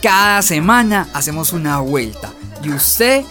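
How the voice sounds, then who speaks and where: An actor playing a menacing, mock-friendly gang extortionist in a radio drama, with slangy Colombian speech from Medellín. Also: male voice, on the high side, lively intonation, measured pace, sharp tone, faint music behind. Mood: sly threatening. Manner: mock-friendly, insinuating threats.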